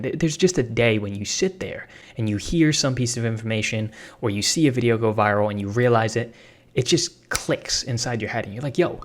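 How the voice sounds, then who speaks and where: A young man giving a casual, hyped, slang-heavy tutorial on making money online, talking off the cuff to his viewers. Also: mid-range voice. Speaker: online business creator.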